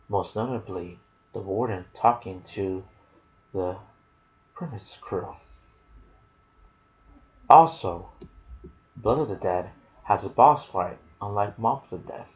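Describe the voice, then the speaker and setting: A soft-spoken man narrating video game lore in an ASMR style, with a hushed, deliberate delivery in short phrases. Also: on the low side, low-quality recording. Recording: clear background noise.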